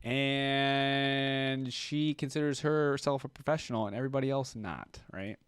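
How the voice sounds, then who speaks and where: A man giving casual, informal and expressive reaction commentary on video game content. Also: mid-range voice, a little slow, clear, resonant tone, no room sound, studio quality.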